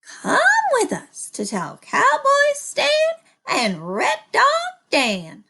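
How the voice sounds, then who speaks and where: Storybook hen: shrill, excitable, squawking alarm, swooping far up in pitch. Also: female voice, extremely slow, vocal fry, heavily acted. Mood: frantic, alarmed. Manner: squawking, hollering, crying out. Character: excitable alarmed female prairie chicken. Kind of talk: animated children's storybook reading.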